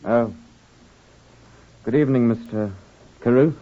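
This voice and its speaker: Actor as genteel, uneasy gentleman, dull vintage sound. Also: medium pitch, measured pace, a little hiss or hum, lightly acted. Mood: courteous. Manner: courteous, indignant, formal. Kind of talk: old-time radio crime drama acting. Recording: dull lo-fi old radio recording.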